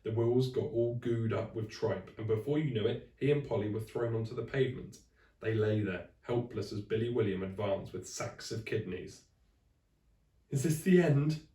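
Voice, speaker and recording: A man reading a children's story aloud in expressive narration, like a teacher to a class. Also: fairly low pitch, normal speed, distant mic. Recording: echoey room, away from the mic.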